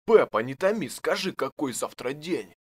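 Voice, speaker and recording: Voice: mid-range voice, normal speed, breathy edge. Cartoon father pig actor voicing: absent-minded, easygoing, hesitant, with swooping intonation. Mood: sheepish, puzzled. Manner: hesitant, musing, expressive. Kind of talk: children's cartoon dubbing.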